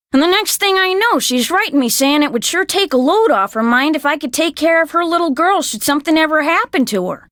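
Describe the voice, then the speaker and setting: A woman's voice: sits high, fairly bright tone, no room sound, studio quality. An actress playing a brassy, good-hearted, talkative 1930s American woman full of folksy slang in a video game. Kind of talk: scripted video game dialogue acting.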